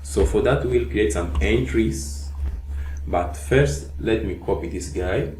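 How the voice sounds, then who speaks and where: Low, mellow man teaching code. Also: takes it slowly. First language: Portuguese. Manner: narrates each step, frequent "okay?" check-ins and "uh" fillers. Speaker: coding tutor. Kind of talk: screen-recorded coding tutorial. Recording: echoey room with faint hiss.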